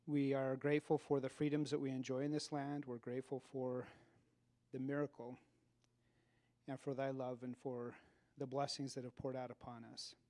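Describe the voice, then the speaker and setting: A man with an American accent leads a formal prayer at a public gathering. His voice is mellow and mid-pitched, and his wording is reverent, measured and old-fashioned. He speaks into a mic in a hall. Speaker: prayer leader at an event. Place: event hall.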